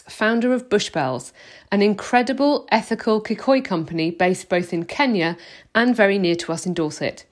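A woman hosting a podcast, opening the episode and asking a guest questions attentively. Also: medium pitch. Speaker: podcast host.

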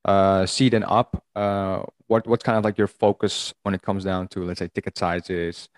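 A man with a low voice hosting a podcast interview. He talks casually and loosely, often restarting his sentences. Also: flat delivery, moderate pace. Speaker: podcast host.

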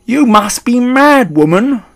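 A man's voice: very high voice, very animated, slow, voice pushed up, full character voice. A man voicing an angry, blustering middle-aged English father in a storybook, rude and spluttering. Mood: furious, shouting. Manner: rude, shouting, spluttering, stammering when shocked.

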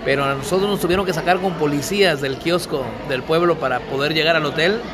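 A man's voice: on the high side, fast talker. A middle-aged man, a singer-songwriter being interviewed, telling stories casually with slang and frequent laughter. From Mexico.

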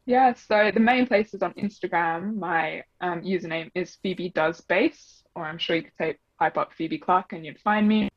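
A woman's voice: on the low side, moderate pace, few pauses, dry recording. A young woman with a blended Australian and English accent, chatting as an interview guest with lots of fillers and laughs. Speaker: guest, double bass player. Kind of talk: casual interview chat. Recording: dull, slightly muffled dry audio.

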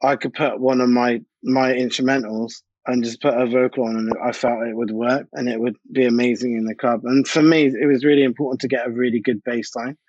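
A man's voice: medium pitch, level tone, fairly quick, hardly any pauses, a little mellow, husky, no echo, highs cut off. A man speaking as an interview guest in a casual, informal, slangy way. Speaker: DJ and music producer, interview guest.

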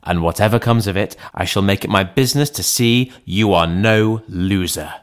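A man reading the part of a sober, rational gentleman lawyer in an audiobook, in a measured, formal manner. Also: lowish voice, clean tone, close to an everyday voice. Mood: grave, resolute.

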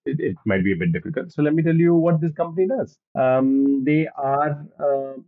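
A man's voice: a little high, lively intonation, normal speed. A man co-hosting a casual quiz podcast, patiently giving hints and laughing often. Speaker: co-host, quizmaster. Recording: tinny phone-like line.

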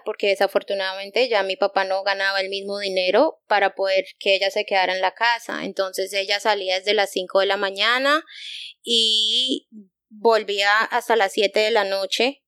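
A young adult woman with a Colombian accent, a guest telling her story in a relaxed conversation, with quick laughs and trailing restarts. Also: mid-range voice, clear, resonant tone, no room sound, studio quality. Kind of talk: casual interview conversation.

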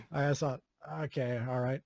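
A man from Sweden with a Swedish accent, chatting casually as an interview guest, relaxed, with many fillers and chuckles. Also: a little high, vocal fry, breathy edge, no room sound, clean studio sound. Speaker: video game composer, guest.

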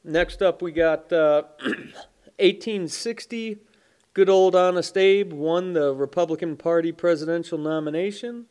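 A man's voice: a little high, unhurried, darkish tone, smooth. Congested, swearing man podcasting. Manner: swears often, offhand jokes, stumbles over names. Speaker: podcast host. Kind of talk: casual solo podcast, reading daily history.

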